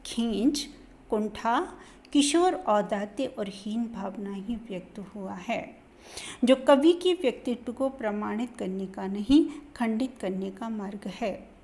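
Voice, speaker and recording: A woman lecturer reading a literature lesson aloud in a slow, deliberate formal manner, her voice dropping softly at the end of each sentence. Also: fairly high pitch. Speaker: college Hindi lecturer.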